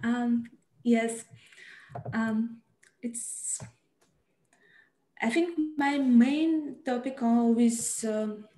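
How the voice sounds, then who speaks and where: A young woman with a Russian accent talks slowly and haltingly on a video call, often pausing on fillers as she hunts for words. Her voice sits a little high, and the call carries a roomy echo. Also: dark tone. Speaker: panelist poet. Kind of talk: panel discussion on a video call. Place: home room, indoors. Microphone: video call, headset.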